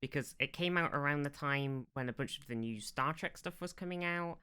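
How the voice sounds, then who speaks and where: A man's voice: a little high, a little fast, bright, forceful tone, clear, resonant tone. A man hosting a podcast in casual chat, with a blunt manner and a habit of acting out quotes. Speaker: podcast host.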